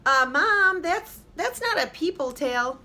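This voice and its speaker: A woman's voice: very high-pitched, lively intonation, slow, pushed and bright, slight background noise, pitched above the performer's own voice, heavily acted. A woman voicing a skeptical little girl in a storybook, with hesitant, emphatic protests. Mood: doubtful. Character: Kristen, skeptical little girl who doubts her new sibling.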